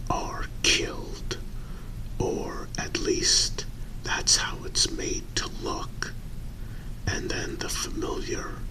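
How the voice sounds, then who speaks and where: A man playing a calm, discreet vampire in a whispered ASMR role-play, speaking in deliberate, halting, measured phrases. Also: low-quality recording.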